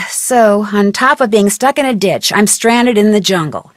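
A woman's voice: mid-range voice, measured pace, no room sound, lightly acted. An American woman voicing an upbeat, clever young female detective in a video game, narrating earnestly with wry asides.

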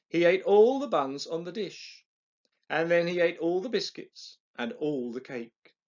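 A middle-aged man reading a children's picture book aloud expressively, with dramatic, deliberate pauses. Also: medium pitch, highs cut off. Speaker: storyteller on an early years channel.

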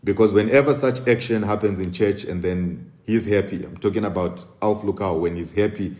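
A man's voice: on the low side, normal speed, a little mellow. A man in his thirties talking live to camera indoors, earnest and full of fillers and restarts. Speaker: host. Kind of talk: casual live commentary. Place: indoor room at a table.

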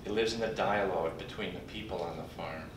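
An older man speaks from a podium in a big conference hall, his lowish voice folksy and broken by pauses. He is picked up from far off on a room recording, with the hall ringing around him and a steady rumble underneath. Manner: folksy, warm-humored, halting with pauses. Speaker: conference speaker introducing a colleague. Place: large conference hall, indoors. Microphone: podium mic, recorded from afar by a computer. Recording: distant echoey hall recording with background rumble.

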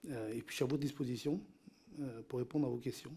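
A man's voice: medium pitch, fairly even intonation, quick pace, mellow, breathy edge. A middle-aged man from Cambodia, whose first language is a Chinese dialect, testifying at a parliamentary hearing into a desk microphone in a large committee room, hesitant with many fillers and repeated words. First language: Teochew dialect. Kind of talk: formal testimony.

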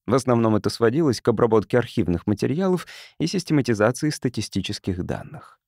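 A man's voice: medium pitch, darkish tone, no room sound, studio quality. A man reading fiction as an audiobook narrator, in a scripted storytelling style.

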